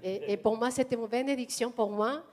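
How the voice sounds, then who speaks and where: A woman's voice: a little high, steady tone, a little mellow. Hesitant woman with a Mexican accent. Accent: Mexican Spanish. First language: Spanish. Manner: hesitant, halting, many pauses. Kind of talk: personal testimony in church.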